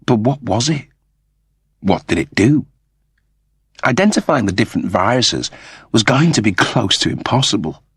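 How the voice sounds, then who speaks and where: Low, animated male storyteller. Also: slightly rough, no room sound, clean studio sound. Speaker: audiobook narrator. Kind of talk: dramatic scripted fiction narration.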